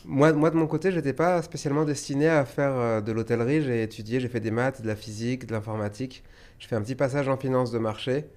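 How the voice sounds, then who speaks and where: A man's voice: mid-range voice, fairly even intonation, fast, darkish tone. A man from France, born in Tunisia, speaking emphatically and conversationally as an interview guest, telling stories. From born in Tunisia, grew up in France. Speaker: podcast guest, hotelier.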